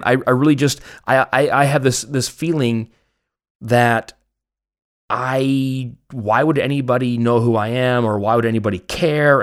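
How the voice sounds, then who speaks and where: A man with a Canadian accent hosting a podcast, chatting in a casual, rambling way with a joking, self-mocking manner. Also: medium pitch. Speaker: podcast host. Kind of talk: casual, rambling personal chat.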